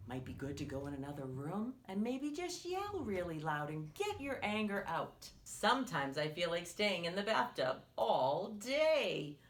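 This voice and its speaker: A woman leads a story time for young children, reading in an expressive, exaggerated way. Her pitch swings widely and her pace is slow and deliberate. She keeps turning to the little listeners with questions and leaves space for them to answer. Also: slight background noise. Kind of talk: read-aloud picture book storytelling for young children.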